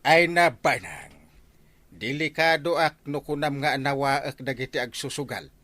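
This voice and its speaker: An actor playing a foxy middle-aged village captain who loves gambling, with hemming, stammering interjections, in a radio comedy drama. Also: male voice, mid-range voice, normal speed, bright, forceful tone, natural voice. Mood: defensive. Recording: music behind the voice.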